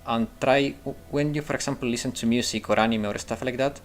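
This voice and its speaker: A man's voice: mid-range voice, steady tone, fairly quick, faint music behind. Man chatting while gaming. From Italy. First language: Italian. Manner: rambling, thinks aloud with restarts and fillers. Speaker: streamer. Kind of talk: casual gameplay commentary and chat replies.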